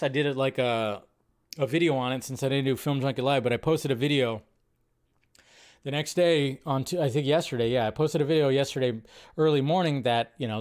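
A man hosting a movie news show, giving casual, rambling fan commentary in an informal, thinking-out-loud way. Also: a little high, smooth.

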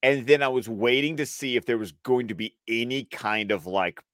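A man's voice: mid-range voice, normal speed, fairly bright tone, no room sound, studio quality. A man co-hosting a casual commentary stream, stressing his points emphatically and often repeating phrases. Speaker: co-host of a cartoon episode review stream.

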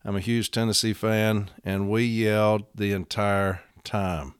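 A middle-aged man, hosting a casual podcast, voice hoarse and worn out, talking bluntly and rambling with many fillers. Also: fairly low pitch, fairly even intonation, measured pace, no echo, clean studio sound. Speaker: podcast host.

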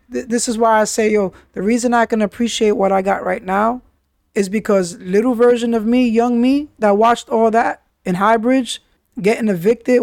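A man recounts his past in candid street slang. His voice sits fairly high and carries a heavy gravelly fry. The sound is close and dry. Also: a little mellow, no echo. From New York City. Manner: street slang, frequent "yo" and "you understand?". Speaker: podcast host. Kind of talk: candid personal storytelling.

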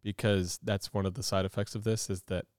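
A man co-hosting a podcast, talking casually while sick with a stuffy nose. He rambles through plenty of ums and uhs and laughs often. His voice is smooth, recorded dry and close. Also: fairly low pitch, little pitch movement, fairly quick, darkish tone, no room sound. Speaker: podcast co-host.

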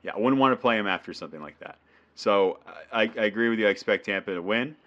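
A man's voice: on the low side, fast, a bit bright, lo-fi sound. A man with a New England accent co-hosting a casual sports podcast, chatty and laughing often. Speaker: podcast co-host.

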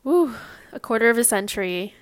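A woman in her twenties speaks in a fairly high voice with a Californian American accent. She hosts a personal podcast alone, informal and candid with a touch of nerves. The recording is dry, without room echo. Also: lively intonation, a little fast. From Long Beach, California, US. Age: 20s. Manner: candid, informal, slightly nervous. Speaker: podcast host. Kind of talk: casual personal podcast monologue.

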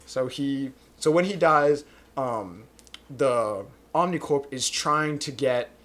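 A man's voice: mid-range voice, lively intonation, takes it slowly, a bit bright. A man co-hosting a film podcast, summarizing a plot in a rambling, hedging way. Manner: rambling, hedges with "kind of". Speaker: podcast co-host.

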